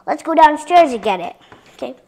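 A female voice giving a chatty tour of a toy dollhouse playset, addressing viewers directly and sometimes dropping into soft whispers. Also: high-pitched, expressive, fairly quick. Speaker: toy reviewer.

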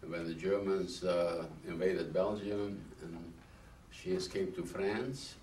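A man in his eighties from Czechoslovakia, speaking slowly with many pauses in a recorded oral history interview in a classroom. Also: deep voice, fairly even intonation, darkish tone. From Carpathian Ruthenia, then Czechoslovakia. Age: older; 80s. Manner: slow and halting, many "uh" fillers. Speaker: interviewee, Holocaust survivor. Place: small classroom, indoors. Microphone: far camera. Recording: roomy echo, distant mic, faint hiss.

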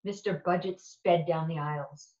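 A woman reading a children's picture book aloud in an expressive, playful and deliberate way. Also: sits low. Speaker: storybook reader. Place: indoor room at home. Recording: echoey room, dull lo-fi sound.

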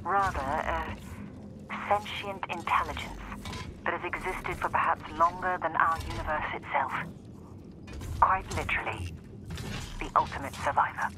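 An actor playing a regretful male scientist narrating his own recorded game logs in a grave, measured way. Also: fairly high pitch, noisy background, close to an everyday voice. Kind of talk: video game voice acting.

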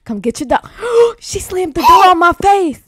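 A woman's voice: very high voice, sing-song, a little slow, a bit bright, no room sound, studio quality, full character voice. A woman playing a sassy, exasperated granddaughter who bosses everyone around in an improvised amateur roleplay. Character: sassy, jumpy grown granddaughter taking charge.

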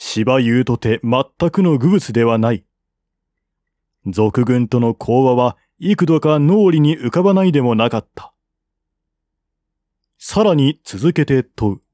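A man narrates a historical war chronicle in formal, solemn prose. His pace is measured and his pitch sits in the middle. The sound is dry and close, free of room echo. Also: expressive, mellow. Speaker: audiobook reader. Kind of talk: scripted narration of a historical novel.

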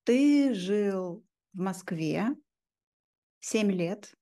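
Woman host asking simple questions for language learners, slow and mellow voice. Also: mid-range voice, expressive. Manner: asks short, clearly phrased questions. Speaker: host, interviewer. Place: home room, video call. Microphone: desk microphone.

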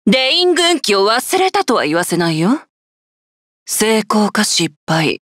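A woman's voice: medium pitch, sing-song, normal speed, a bit bright, no room sound, clean studio sound. A woman voicing a cruel, arrogant female general in a video game, with haughty, scornful, theatrical taunts. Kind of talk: Japanese video game character voice lines.

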